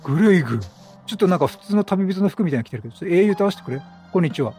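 Male let's-player: high-pitched, very animated, playful slangy commentary with a slightly rough voice over game music. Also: very fast. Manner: playful, reactive, slangy banter with characters. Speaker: gaming streamer. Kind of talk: casual let's play commentary.

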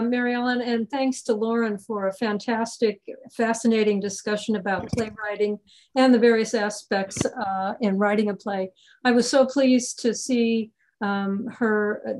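A woman's voice: medium pitch, darkish tone, low-quality recording. A woman in her sixties giving formal closing remarks and announcements as an organizer on a video call. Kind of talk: formal announcements, partly read. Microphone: video call computer microphone.

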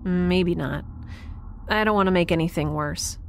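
A woman's voice: fairly low pitch, measured pace, vocal fry, a little hiss or hum. A woman playing a thoughtful, curious young scientist, conversational and a little hesitant, in a naturalistic audio drama. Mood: subdued, sincere.

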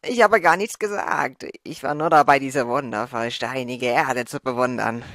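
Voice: medium pitch, moderate pace, a bit bright, dry recording, studio quality, natural voice. A performer voicing a polite, meek, dreamy creeper monster in a cartoon fandub, earnest and apologetic.

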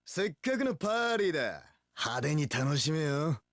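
An actor playing a cocky, cool young samurai warlord in a video game, with rough tough-guy speech and English catchphrases. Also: fairly high pitch, sing-song, normal speed, a bit bright, no room sound, clean studio sound. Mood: cocky, taunting. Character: cocky, cool young male samurai warlord, swaggering one-eyed dragon. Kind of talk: video game battle voice lines.

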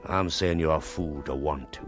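An actor playing a bitter, sarcastic schoolmaster in a radio drama, scolding in a theatrical, sardonic manner. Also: male voice, rumbling low, moderate pace, faint music behind. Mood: weary scorn. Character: bitter, sarcastic male schoolmaster, scornful and weary.

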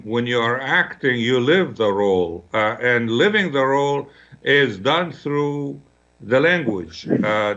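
A man's voice: mid-range voice, bright, forceful tone. A man hosting a radio interview, asking questions that he rephrases as he goes. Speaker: radio host.

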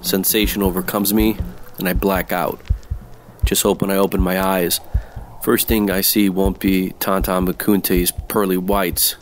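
A man playing a world-weary, wisecracking private detective, narrating an old-time noir radio drama in a deadpan way. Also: sits low, unhurried, slight background noise. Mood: tense. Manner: deadpan, sardonic.

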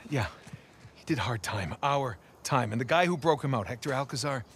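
An actor playing an earnest, anxious American adventurer in a video game, speaking in an urgent, imploring way. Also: male voice, mid-range voice, lively intonation, moderate pace, fairly bright tone, slight background noise. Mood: pleading, bargaining. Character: earnest, anxious male adventurer pleading and bargaining. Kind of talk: video game cutscene acting.

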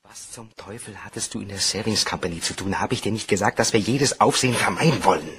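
An actor playing an anxious, defensive young bank clerk with a guilty secret, in a German crime radio play. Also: male voice, fairly low pitch, fast talker, a crowd in the background, close to an everyday voice. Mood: angry, alarmed.

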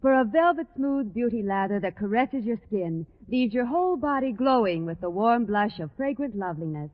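A woman's voice: a little high, lively intonation, normal speed. A woman reading advertising copy in a 1940s radio style. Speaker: commercial announcer. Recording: tinny 1940s radio, long hall reverb.

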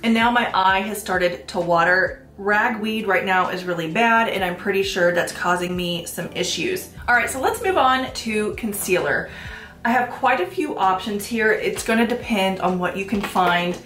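A woman's voice: medium pitch, moderate pace, echoey room. Congested woman chatting through a makeup tutorial. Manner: chatty, relaxed, with frequent ums and likes. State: congested from allergies, sniffling. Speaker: beauty vlogger. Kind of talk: casual makeup tutorial talk. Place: small room at home, plain backdrop. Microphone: camera at head-and-shoulders framing.

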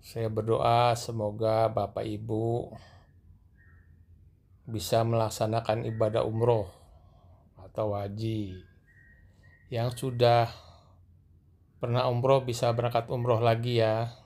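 Man, middle-aged, gravelly voice, flat delivery, walking tour narration, gentle, filmed on the move. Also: mid-range voice, takes it slowly, pauses often. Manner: gentle, repeatedly addressing viewers as sir and madam. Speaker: vlogger guiding viewers. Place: large mosque, indoor escalators then open rooftop at night.

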